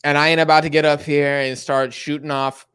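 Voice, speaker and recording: A man's voice: a little high, a little fast, clean tone. A young man hosting a casual football watch-along live stream, reading chat messages and rambling in slang with lots of fillers. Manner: slangy and rambling, lots of fillers, sudden excited outbursts at the match. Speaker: live stream host reacting to a football match.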